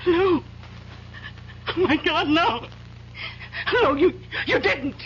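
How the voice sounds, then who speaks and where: Anxious defensive wife, high swooping voice, pleading, exasperated, old radio drama, noisy muffled recording. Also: female voice, expressive, extremely slow, full character voice. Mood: horrified, pleading. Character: frightened, defensive wife of a jealous man. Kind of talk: 1970s radio drama acting.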